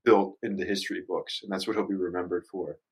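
A man's voice: deep voice, steady tone, fast, breathy edge. A man in a casual podcast discussion, explaining his opinions in a rambling, slangy way. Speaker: podcast panelist. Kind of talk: casual group podcast chat.

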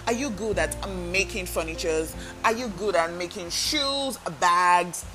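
A woman's voice: lowish voice, measured pace, rough recording. Earnest woman coaching over music. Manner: earnest, coaching, asks listeners direct questions. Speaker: podcast host. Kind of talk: self-help podcast talk. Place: talking alone into a microphone.

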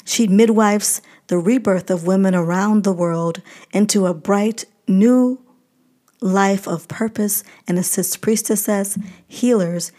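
A woman's voice: medium pitch, slow, warm tone. Woman podcast host introducing and interviewing.

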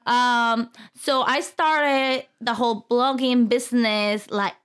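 A woman's voice: a little high, steady tone, takes it slowly, a bit bright, smooth. Chatty woman with a Mexican accent. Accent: Mexican Spanish. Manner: chatty, giggly, loose non-native grammar. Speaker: podcast guest, lifestyle blogger. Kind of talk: casual interview chat.

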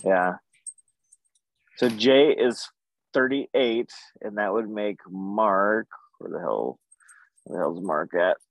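A man's voice: on the low side, slow pace, creaky, long pauses, dry recording, low-quality recording. A man in his thirties chatting casually as the co-host of a wrestling podcast. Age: 30s.

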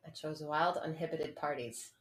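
A woman's voice: low voice, normal speed. A woman in her early thirties co-hosting a podcast, chatting casually and personally. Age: early 30s. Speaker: podcast co-host. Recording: echoey room, faint background noise.

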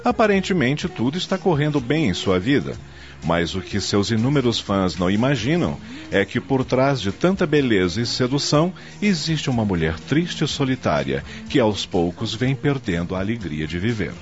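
A man's voice: mid-range voice, sing-song, moderate pace, lo-fi sound. A man with a Brazilian accent narrating a scripted radio drama with dramatic storytelling delivery. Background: faint music.

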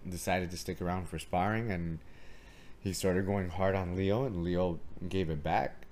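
A very low-pitched man with a strong voice that carries, telling stories from his gym in casual conversation. He animates the arguments he quotes, and a faint hiss sits under his voice. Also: a little slow, darkish tone. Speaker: martial arts coach and gym owner. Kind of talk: casual podcast storytelling.

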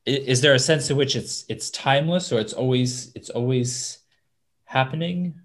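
Man, interviewer, mid pitch, halting questions, restarts. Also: a little hiss or hum.

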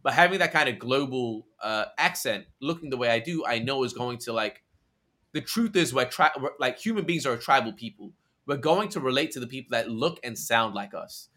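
A man's voice: mid-range voice, expressive, a little fast, pushed and bright. A young man from Australia chatting energetically as a podcast guest, full of slang and tangents. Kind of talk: casual conversation.

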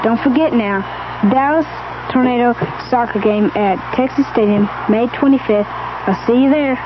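A woman's voice: on the high side, moderate pace. A woman reading a promotional radio advertisement in an announcer style. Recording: dull, noisy old radio recording.

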